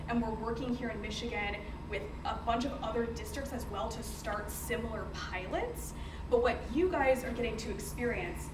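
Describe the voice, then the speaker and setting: In a reverberant, noisy room, a young American woman speaks in a fairly high voice. She presents informally to a group, conversational and emphatic, like someone sharing her own story as a founder. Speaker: company founder presenting to teachers. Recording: roomy, distant mic, noisy background.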